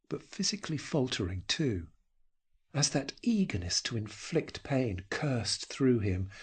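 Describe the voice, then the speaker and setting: Husky, slow-paced male story narrator. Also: lowish voice. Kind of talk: dramatic scripted story narration.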